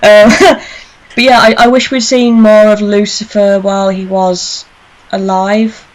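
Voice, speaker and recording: A woman's voice: mid-range voice, level tone, takes it slowly, poor audio. A woman hosting a casual group fan chat, speaking in a chatty, joking way. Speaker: host of a fan discussion show.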